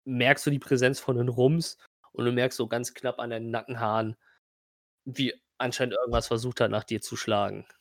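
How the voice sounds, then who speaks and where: Mann als stockend beschreibender Spielleiter. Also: male voice, medium pitch, flat delivery, no echo, clean studio sound. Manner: halting, descriptive narration addressed to the player. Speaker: game master. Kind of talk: narrating a tabletop role-playing game.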